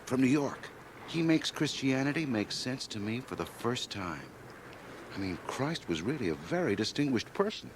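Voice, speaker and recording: A man's voice: mid-range voice, sing-song, moderate pace, background noise, close to an everyday voice. An actor playing a glib, self-satisfied young man with ambition, breezy and pompous in radio drama dialogue. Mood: smug, expansive. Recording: 1980 radio drama, home cassette transfer.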